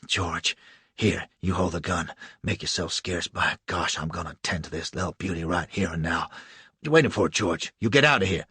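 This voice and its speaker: A man putting on a brutal, leering slave catcher, his voice pushed low and gruff in a coarse drawl. It sounds husky and dry, recorded close with no room. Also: normal speed, bright, forceful tone, dry recording, heavily acted.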